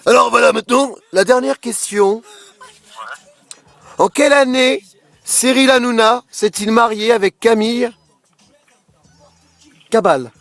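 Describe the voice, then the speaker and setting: A man with a high-pitched voice plays radio game host on a prank call. He improvises his patter and pushes his contestant along with hyped-up, boisterous urging. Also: a little slow, pauses often, fairly bright tone, no room sound, soft background music. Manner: boisterous, pushy, hyped-up exhortations.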